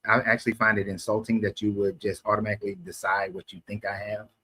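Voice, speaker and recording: A man's voice: fairly low pitch, level tone, quick pace, bright, forceful tone, breathy edge. A man from Atlanta debating on the street, explaining at length and correcting himself as he goes. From Atlanta, USA. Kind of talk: casual street conversation.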